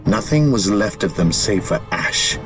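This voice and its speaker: A somber space soldier from a video game, low in voice. He reports with formal gravity. Music swells behind his words. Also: expressive, unhurried. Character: somber Space Marine scout reporting losses to captain. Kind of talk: video game cutscene acting.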